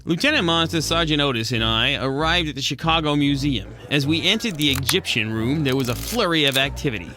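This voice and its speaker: A man's voice: fairly high pitch, animated, brisk, few pauses, music in the background, close to an everyday voice. A man playing a hard-boiled penguin private eye, narrating a noir radio drama in wisecracking slang. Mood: world-weary.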